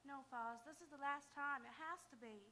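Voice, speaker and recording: A woman's voice: high-pitched, moderate pace, pushed and bright, a little hiss or hum. An actress playing a timid, submissive young frontier woman in a live radio Western, with a pleading manner. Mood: anxious, pleading. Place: auditorium stage, live radio theatre performance.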